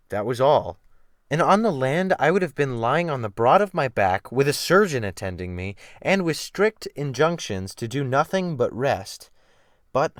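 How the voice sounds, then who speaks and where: A man gives a dramatic reading of classic fiction. His phrasing is theatrical and deliberate, with a mid-range voice that rises and falls expressively. The recording is dry, with very little room sound. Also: mid-range voice, no room sound. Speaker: audiobook narrator.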